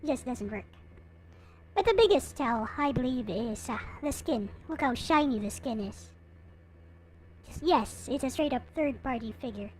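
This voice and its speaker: High-pitched woman, slow casual show-and-tell. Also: lively intonation, a little hiss or hum. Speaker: vlogger showing collectible figures.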